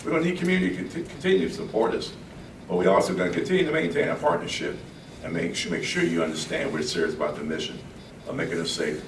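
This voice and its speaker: A man's voice: sits low, quick pace, vocal fry. A man, a police chief, speaking at a press conference while losing his voice to a congested nose, earnest and repeating words as he goes. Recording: echoey room, distant mic, background noise.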